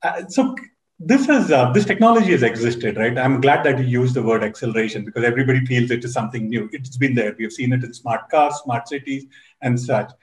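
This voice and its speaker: A man speaking eagerly on a video-call panel, and he keeps restarting his phrases as he thinks. His voice is mid-pitched for a man. The room around him sounds roomy and echoey. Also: fairly quick. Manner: enthusiastic, many repeated restarts.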